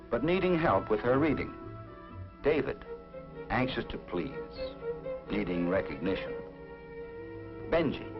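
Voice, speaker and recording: An American man reading scripted 1950s educational film narration in dramatic, measured short phrases. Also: on the low side. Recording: dull vintage film soundtrack.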